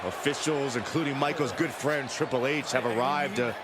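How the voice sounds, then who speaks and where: An actor playing a concerned wrestling commentator, calling the show in a video game. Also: male voice, mid-range voice, moderate pace, close to an everyday voice. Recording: loud arena background noise.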